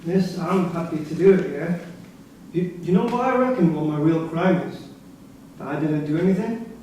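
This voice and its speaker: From far off in a very echoey hall comes a man acting an earnest, defensive inmate. His voice is mellow and a little high, as performed in a play reading. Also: animated, moderate pace, natural voice. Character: eager, defensive male prisoner. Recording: distant echoey hall recording, faint noise.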